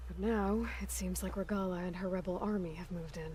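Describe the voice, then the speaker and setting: An actress playing a determined, inquisitive young warrior explorer in a video game, speaking directly and seriously with probing questions. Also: female voice, fairly low pitch, fairly even intonation, a little fast, dark tone, slight background noise, natural voice. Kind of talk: video game dialogue acting.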